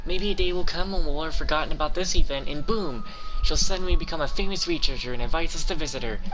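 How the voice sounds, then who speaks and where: A man's voice: fairly high pitch, fast, pitched above the performer's own voice, close to an everyday voice, poor audio. A man voicing a small, childlike, chirpy female fairy sidekick as he reads game dialogue aloud. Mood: chirpy, eager. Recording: game music behind.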